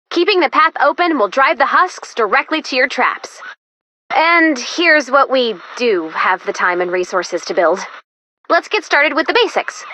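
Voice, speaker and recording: A woman's voice: sits high, sharp tone, dry recording, heavily acted. A woman voicing a perky, quirky female robot helper in a video game, chirpy and encouraging as she guides the player. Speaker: mission guide. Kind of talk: video game tutorial voice lines.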